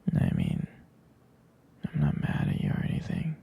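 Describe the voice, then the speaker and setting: A man playing a jealous, protective and doting boyfriend in an intimate roleplay ASMR, speaking softly and hesitantly in whispers. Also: moderate pace, natural voice. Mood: jealous, possessive.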